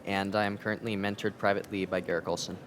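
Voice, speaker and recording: An articulate young American man with a lowish voice speaks in a measured way. Steady background noise runs under his speech. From United States. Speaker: pianist guest.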